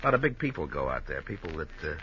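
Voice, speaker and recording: An actor playing a smooth-talking, menacing gambler in a 1950s American radio crime drama, with slangy, coolly persuasive delivery. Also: male voice, extremely low pitch, very animated, racing, sharp tone, full character voice. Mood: smooth, goading. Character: smooth-talking male gambler turned cold, menacing gunman. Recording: muffled, noisy old 1950s radio recording.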